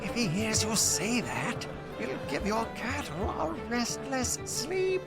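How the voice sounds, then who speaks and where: A man playing an excitable, eccentric knight in an audio drama, blustering and indignant in a theatrical way. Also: very high-pitched, slow pace, over loud music, heavily acted. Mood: insistent, indignant. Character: excitable, eccentric knight obsessed with hunting a fantastical beast.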